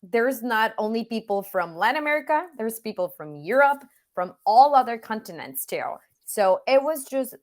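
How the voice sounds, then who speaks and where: A woman from Mexico whose first language is Spanish, speaking English with a Mexican accent as an interview guest, earnest and reflective with frequent "you know" fillers. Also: mid-range voice. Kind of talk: virtual Q&A interview.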